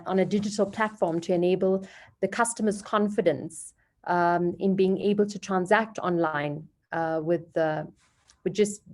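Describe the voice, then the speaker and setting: A woman's voice: fairly low pitch, darkish tone. A woman moderating an online business panel, talking loosely and hunting for words as she introduces speakers. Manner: loose and conversational, hunts for words.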